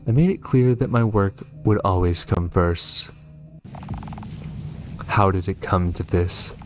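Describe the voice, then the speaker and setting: A man's voice: low-pitched, takes it slowly, over music. A man reading game dialogue as a cold, matter-of-fact murderous witch and mother. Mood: cold, resigned. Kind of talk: read-aloud game dialogue.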